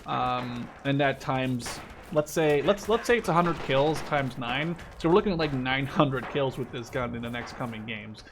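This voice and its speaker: A man in his twenties from the Netherlands talks through his gameplay in a casual way. His pitch sits in the middle, and he rambles hesitantly, often dropping a sentence halfway. Gunfire and artillery from the game are clearly heard behind him. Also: normal speed, hardly any pauses. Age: 20s. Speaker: gaming video creator. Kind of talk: casual live gameplay commentary. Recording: loud gunfire and artillery noise. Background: video game gunfire, artillery and machine guns.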